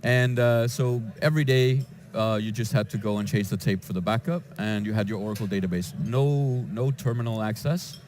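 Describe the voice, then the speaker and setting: A man from Denmark, a database developer answering interview questions in a conference lounge, with frequent halting restarts. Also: lowish voice, background noise. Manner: hesitant, with many restarts and fillers.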